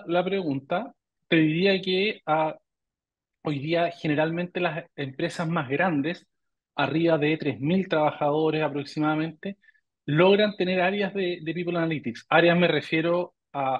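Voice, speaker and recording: A man's voice: fairly high pitch, steady tone, moderate pace, lo-fi sound. A middle-aged Chilean man with a Chilean Spanish accent, answering interview questions thoughtfully and often repeating words as he searches for phrasing. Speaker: guest.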